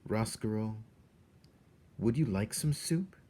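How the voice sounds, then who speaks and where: A man voicing a gentle, earnest young princess in a storybook, speaking softly and sincerely. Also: on the low side, lively intonation, takes it slowly. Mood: kindly.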